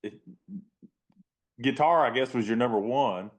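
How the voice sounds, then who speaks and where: Man, baritone, host, casual talk, halting restarts. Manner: halting, repeats words when starting. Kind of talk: casual conversation.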